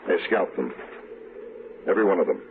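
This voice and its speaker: A man's voice: low voice, lively intonation, a little fast. An American man playing an earnest young cavalry captain in a vintage radio western. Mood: somber. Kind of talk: 1950s western radio drama acting. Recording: noisy, muffled vintage radio recording.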